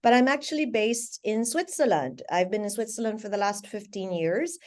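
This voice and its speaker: A woman's voice: medium pitch, expressive, moderate pace, darkish tone. A woman from the Philippines speaking conversationally as a sales director on an online panel. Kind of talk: conversational self-introduction on webinar. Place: online video call.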